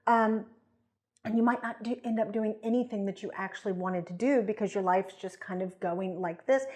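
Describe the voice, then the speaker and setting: A woman co-hosts a podcast in a medium-pitched voice. She explains in a conversational, emphatic way, slipping into imagined quotes to illustrate her points. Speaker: podcast co-host. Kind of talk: conversational explanation with hypothetical examples.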